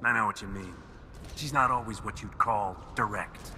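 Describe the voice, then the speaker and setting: An actor playing a cool, sceptical captain in a video game, with a terse, dry delivery. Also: male voice, on the low side, moderate pace, sharp tone, slight background noise. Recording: long hall reverb, prominent music behind.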